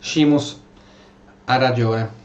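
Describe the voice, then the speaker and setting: A man's voice: mid-range voice, fairly even intonation, slow pace, crowd noise. A man giving casual, sarcastic gameplay commentary, role-playing his replies in an informal way. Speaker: gamer, role-playing through a video game. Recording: dull, echoey microphone, faint game music.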